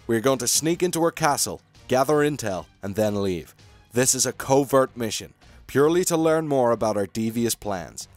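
A man playing a grave covert-agency commander in a game roleplay, formal, deliberate and urgent. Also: mid-range voice, no room sound, natural voice. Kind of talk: cartoonish game roleplay acting.

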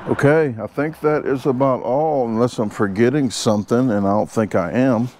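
A man's voice: mid-range voice, normal speed, a little mellow, a little hiss or hum. A man chatting casually while cooking at an outdoor campsite, cracking dry jokes between fillers. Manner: joking asides, rambling fillers. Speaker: outdoors vlogger. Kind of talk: casual camp cooking chat.

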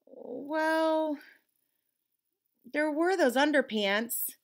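Woman voicing a high, defensive little lizard. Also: very slow. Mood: defensive, sheepish. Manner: protesting, sheepish. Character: defensive, startled male lizard caught being naughty.

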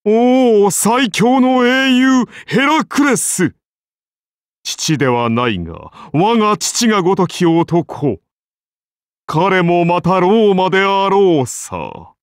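A man's voice: high-pitched, big pitch swings, slow, clear, resonant tone, no echo, studio quality, heavily acted. A man voicing a majestic, benevolent Roman founder-king turned god in an anime game, with grand, solemn, archaic declamation. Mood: grandly exclaiming. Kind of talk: anime game character voice lines.

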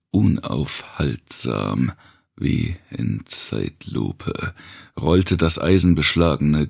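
A man reads dark fiction in an extremely low voice that crackles heavily with vocal fry. His delivery is slow and deliberate, shaped as dramatic storytelling. The tone is raspy and rough. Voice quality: gravelly, raspy. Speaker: audiobook narrator. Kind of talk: scripted narration of a dark post-apocalyptic thriller.

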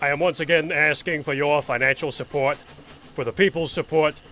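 An actor playing an impassioned older senator in a comedic radio play, speechifying insistently. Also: male voice, a little high, steady tone, brisk, bright, forceful tone. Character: impassioned older male progressive senator impression.

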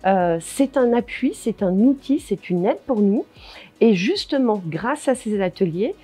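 A woman's voice: mid-range voice, animated, a little slow, mellow, breathy edge. A middle-aged woman, a training center director, speaking confidently and emphatically in an interview.

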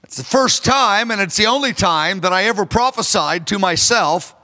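A man's voice: sits high, lively intonation, moderate pace, bright, forceful tone. A man in his fifties preaching a sermon on a large church stage into a handheld microphone, earnest and emphatic. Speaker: pastor. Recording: long reverb of a large hall.

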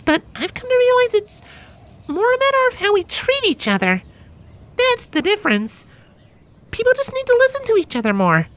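A man's voice: very high voice, a bit bright, voice pushed up, full character voice. A man voicing a friendly, thoughtful young female griffin with an earnest, chirpy manner, in a fantasy story reading.

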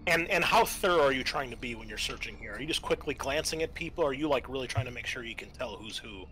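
A man narrating a tabletop roleplaying game as game master, halting and thinking aloud as he improvises. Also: mid-range voice, expressive, pushed and bright, slight background noise, poor audio.

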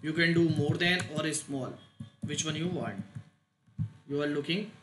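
A man giving a step-by-step software tutorial in short, halting phrases with non-native phrasing. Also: on the high side. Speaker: tutorial instructor. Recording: roomy echo, loud noise, clicks.

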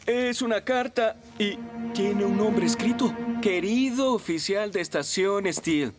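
Casting: clumsy, excitable young cartoon fireman, Latin American Spanish, unhurried pace, music underneath. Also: high voice. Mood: sheepish, startled. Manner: excitable, theatrical. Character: eager, easily alarmed, clumsy young male firefighter. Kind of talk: animated cartoon dub acting. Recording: loud music behind.

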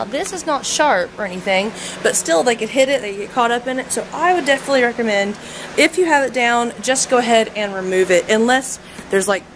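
Chatty woman filming her horse barn. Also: fairly high pitch. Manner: chatty, frequent ums, filming as she walks. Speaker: barn owner reviewing horse stalls. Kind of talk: casual walkthrough video. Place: indoor horse barn aisle. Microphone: handheld camera mic. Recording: noisy handheld camera audio.

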